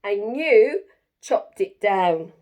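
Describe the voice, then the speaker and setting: An angry little bird from a children's storybook, put on by a woman reading aloud. It is loud and indignant, with emphatic, scolding delivery. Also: medium pitch, sing-song, extremely slow.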